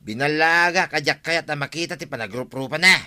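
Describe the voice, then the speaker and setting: Stubborn grumbly village man, radio comedy drama, really tense sharp voice, bit high. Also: brisk. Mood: bitter, defensive.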